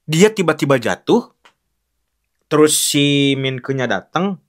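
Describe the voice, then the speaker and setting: A man's voice: a little high, expressive, unhurried. A young man giving casual, frank commentary on film acting, talking loosely with many fillers and restarts.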